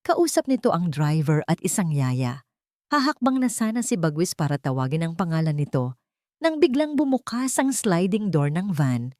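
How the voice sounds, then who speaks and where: A woman narrating a romantic audio drama in a dramatic, expressive storytelling manner. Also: fairly low pitch, normal speed, no echo, clean studio sound.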